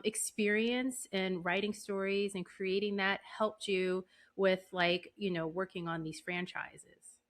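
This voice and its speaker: A woman's voice: mid-range voice, a bit bright. A woman hosting a podcast, chatting casually as she interviews a guest. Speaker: podcast host.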